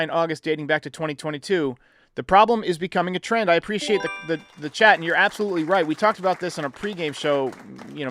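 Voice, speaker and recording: Male sports podcast host, slightly high voice, dry close sound, conversational and explanatory. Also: fairly bright tone, no echo. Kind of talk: casual baseball commentary and call-in talk.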